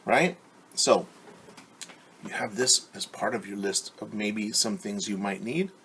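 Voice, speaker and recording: Slow-paced man leading children's story time. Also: fairly low pitch, a bit bright, a little hiss or hum. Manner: simple, encouraging, asks listeners questions. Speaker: teacher reading a picture book to young children. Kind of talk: children's story time read-aloud with explanations and questions.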